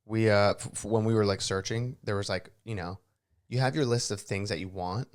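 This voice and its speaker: A young man co-hosting a podcast, joking and teasing in casual chat, with a slightly raspy throat. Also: on the low side, fairly even intonation, brisk. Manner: joking, playful, self-deprecating. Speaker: podcast co-host.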